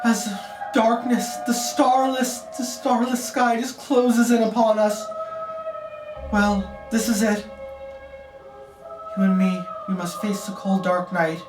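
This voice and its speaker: A man delivering a mock-dramatic, gloomy monologue in a drawn-out theatrical manner. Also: high-pitched, flat delivery. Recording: echoey, far from mic, music behind.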